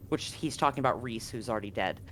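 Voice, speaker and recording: A man narrating a live tabletop game as game master, conversational and often halting to correct himself. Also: mid-range voice, fairly bright tone, slight background noise. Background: music.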